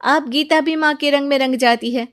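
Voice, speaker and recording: Brief: female storyteller, fairly high voice, scripted narration for a cartoon moral tale. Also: steady tone, normal speed, fairly bright tone.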